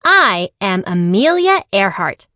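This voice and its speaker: A woman reading a children's picture book aloud in an expressive way, acting out the dialogue. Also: medium pitch, takes it slowly, no room sound, clean studio sound. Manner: animated, acts out dialogue. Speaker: read-aloud storyteller.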